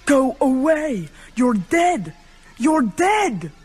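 A woman's voice: high voice, very animated, drawn-out words, a little mellow, breathy edge, faint music behind, full character voice. A woman playing a frightened but resourceful young boy in a radio drama, earnest and fearful. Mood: terrified defiance. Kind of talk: radio drama narration and acting.

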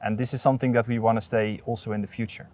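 A man in his thirties speaking in an outdoor interview, earnest and measured, with frequent restarts, on a lapel mic. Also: lowish voice, level tone, darkish tone. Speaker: port authority representative. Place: outdoors at an industrial port site.

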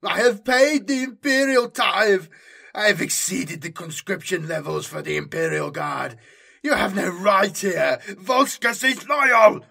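Desperate male governor, acted by a male narrator, high-pitched shrieking, indignant, loud, strained, sci-fi audiobook. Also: measured pace, vocal fry, breathy edge, full character voice. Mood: shrieking outrage. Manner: shrill, strained, pleading outrage. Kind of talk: dramatic sci-fi audiobook character acting.

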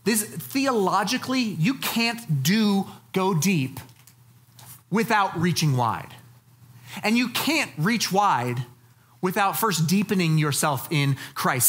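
A man's voice: high-pitched, fairly bright tone. Male preacher, American, 40s. Teaches plainly and conversationally, leaning on key phrases with folksy emphasis. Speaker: pastor. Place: church stage, indoors.

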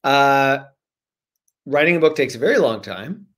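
A man in his forties, an author guest, casually answering questions over a video call from a room at home in an animated, jokey way. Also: mid-range voice, a little slow.

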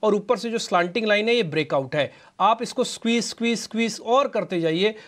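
A man's voice: sits high, fairly quick, fairly bright tone. A man giving technical chart analysis on live TV from a studio, instructing viewers directly, on a lapel mic. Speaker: market analyst. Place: TV news studio.

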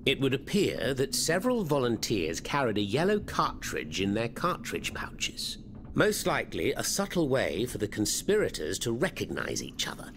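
Game role: theatrical underground French rebel, French accent, very lively intonation, clearly noisy background. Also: male voice, mid-range voice, normal speed. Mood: conspiratorial. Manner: theatrical, florid, eloquent. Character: theatrical, fervent male French revolutionary hiding underground. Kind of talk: video game cutscene acting.

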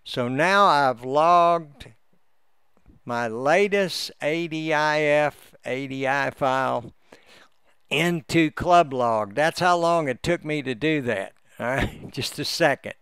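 A man giving a casual, step-by-step tutorial as he walks through software on his screen, in an unhurried way. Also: fairly high pitch, clean tone, no echo, clean studio sound. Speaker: amateur radio hobbyist giving a software tutorial. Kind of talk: casual screen-recorded walkthrough.

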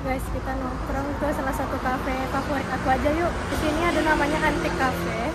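A woman's voice: high voice, flat delivery, brisk, very noisy. A woman chatting casually to viewers in a travel vlog, recorded on city streets. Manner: chatty, addresses viewers as guys. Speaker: vlogger. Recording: loud music and crowd behind.

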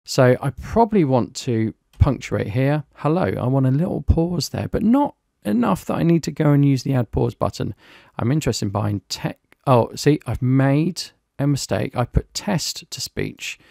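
A British man from the UK reviewing and demonstrating a piece of software in a casual, explanatory way. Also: mid-range voice, big pitch swings, normal speed, gravelly, dry recording, studio quality. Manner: plain, explanatory, lightly chatty. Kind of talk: screen-recorded software tutorial.

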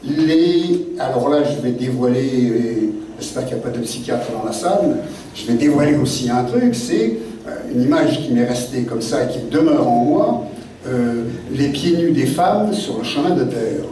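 An older man, a guest author seated at a desk microphone, telling memories in a halting, digressive way full of fillers. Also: mid-range voice, lively intonation, dark tone, slight background noise. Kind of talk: rambling spoken memoir talk. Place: literary café meeting room. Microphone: desk mic on stand, seated. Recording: very echoey room, mic at a distance.

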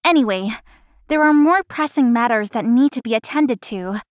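A woman's voice: fairly high pitch, animated, normal speed, no echo, studio quality, close to an everyday voice. A woman playing an anxious, chatty young florist in a visual novel, talking expressively to herself and others. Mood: worried resolve. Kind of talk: visual novel voice acting, inner monologue.

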